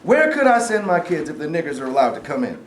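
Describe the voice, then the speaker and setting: Man playing excitable high-voiced alarmist official. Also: fast. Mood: alarmed. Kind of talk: staged play reading. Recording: roomy, echoey live stage recording.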